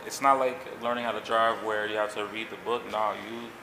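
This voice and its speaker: A man from Haiti in his thirties, a pilot and jet company owner, telling his story in a relaxed, rambling interview with lots of fillers. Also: on the low side, a little fast. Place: outdoors on a city street. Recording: echoey with loud background noise.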